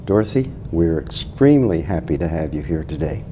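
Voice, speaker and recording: An older man opening and leading an interview in a measured, formal way. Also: very deep voice, expressive, mellow, a little hiss or hum.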